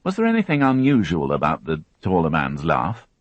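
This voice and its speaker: A man voicing a gentle male police detective, asking questions in a calm, steady way. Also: deep voice, normal speed, no echo, muffled recording, full character voice.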